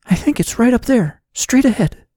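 Man voicing a high-pitched anxious young woman. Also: expressive, moderate pace, creaky, warm tone, breathy edge, dry recording, exaggerated voice. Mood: alarmed. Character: young woman, anxious, self-reproaching adventurer. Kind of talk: audiobook character voice.

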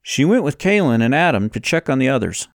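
Man voicing Levi, an anxious, questioning friend, higher-pitched. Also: sing-song, brisk, no room sound, clean studio sound. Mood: reassuring.

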